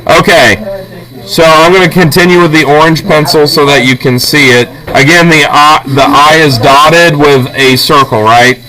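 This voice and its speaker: A male art teacher with a fairly high voice explains a drawing task step by step. He checks in often with short tag questions. Clear chatter from a busy classroom surrounds him. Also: fairly even intonation, moderate pace, rough recording. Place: classroom with students.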